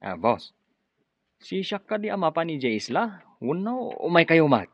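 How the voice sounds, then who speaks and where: A man's voice: fairly high pitch, very animated, moderate pace, no room sound. A man playing an obedient, uneasy henchman in a radio drama, deferential and speaking with an Ilocano accent. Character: obedient, uneasy henchman answering to his boss. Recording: dull, dry lo-fi studio sound.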